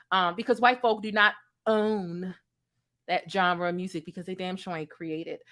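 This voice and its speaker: A woman with a deep voice hosting a livestream commentary show, emphatic and talking straight to her viewers. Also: a bit bright. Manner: emphatic, repeats lines for punch, asks the chat questions. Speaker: YouTube commentary host.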